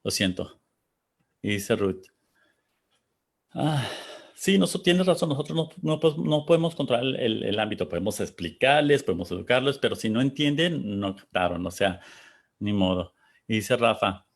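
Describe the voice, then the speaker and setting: List: man, Texan, conversational panel host, thoughtful, hesitant, average pitch. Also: expressive, vocal fry. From Texas. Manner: thoughtful, searching for words, pauses. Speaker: panel host reading audience comments. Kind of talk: casual reflective talk.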